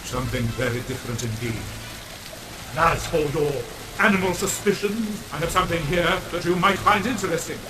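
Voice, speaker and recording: A man's voice: fairly high pitch, a little fast, echoey room, noisy background, natural voice. A man playing an outraged, defiant council member in a video game cutscene, with theatrical, oratorical delivery. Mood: pointed, accusing.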